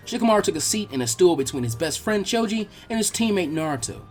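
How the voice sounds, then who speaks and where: A man reading fan fiction aloud as an expressive scripted narration. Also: high voice, fast talker, faint music behind.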